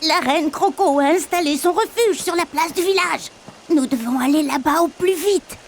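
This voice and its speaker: A woman voicing a gentle female animal villager in a video game dub, speaking earnestly. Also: high-pitched, moderate pace. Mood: earnest, reassuring. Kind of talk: dubbed video game acting. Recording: clear rain-like noise behind the voice.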